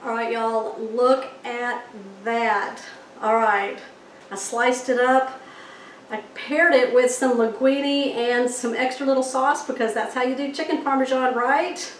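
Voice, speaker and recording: A woman's voice: fairly high pitch, steady tone, measured pace. A middle-aged woman, chatting with viewers as she demonstrates a recipe in her home kitchen. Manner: chatty, folksy, checks in with viewers. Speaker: home cooking host. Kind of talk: cooking demonstration. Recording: echoey kitchen with faint noise.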